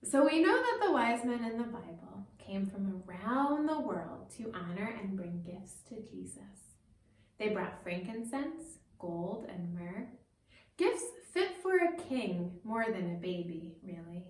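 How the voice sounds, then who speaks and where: A woman's voice: medium pitch, big pitch swings, measured pace. A woman pastor telling a story to children, explaining things simply and addressing them directly, recorded with a roomy echo from a distant microphone.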